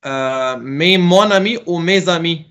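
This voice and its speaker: Middle-aged guy teaching french on a video call, slow and patient, fixes kids' answers, plain laptop mic. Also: a little high, a bit bright. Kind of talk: online class, calling on students.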